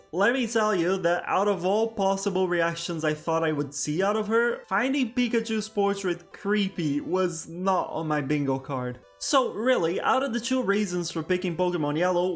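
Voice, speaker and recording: A man with Portuguese as his first language, narrating a scripted game commentary with dry, self-deprecating humor. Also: sits high. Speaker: video essay host.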